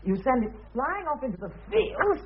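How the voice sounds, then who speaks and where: A husky, flustered, naive millionaire. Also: male voice, extremely high pitch, big pitch swings, moderate pace, full character voice. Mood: earnest, self-important. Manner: earnest, hesitant, bumbling. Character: flustered, naive new millionaire man, eager to please. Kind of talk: radio comedy drama acting. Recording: muffled, husky-sounding radio drama recording.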